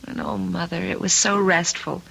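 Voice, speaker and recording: Casting: young wife in a vintage radio play, tense forward voice, tired yet determined, dramatic hesitations. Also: female voice, on the low side, flat delivery, normal speed, creaky, fairly bright tone, slight background noise, poor audio. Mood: wistful. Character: weary, resolute estranged wife and daughter. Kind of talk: old-time radio drama acting.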